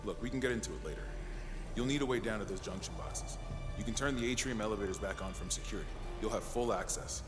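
A man playing a gruff, practical officer in command in a naturalistic video game performance. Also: lowish voice, quick pace, over music, natural voice. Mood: guarded, businesslike. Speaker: security officer, team leader.

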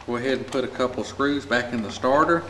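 A man's voice: medium pitch, quick pace, a bit bright. An American man giving a plain, practical, informal step-by-step repair tutorial as he works in a shop. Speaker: small engine repair instructor. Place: indoor repair shop at a workbench. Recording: echoey room with clear background noise.

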